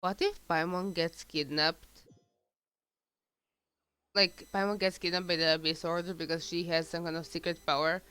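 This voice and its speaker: A woman's voice: on the low side, level tone, moderate pace, pauses often, sharp tone, smooth, low-quality recording. A woman game streamer giving casual, off-the-cuff commentary while she plays.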